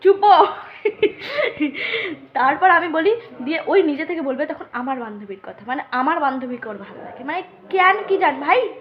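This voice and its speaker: A young adult woman, telling a story casually in a teasing, animated way and often checking that the listener follows. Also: high voice, moderate pace. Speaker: vlogger. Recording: dull lo-fi recording.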